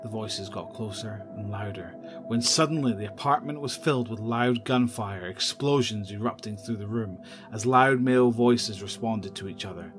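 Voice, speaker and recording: A man narrating a horror audio drama in a measured, descriptive storytelling manner. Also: fairly low pitch, over music.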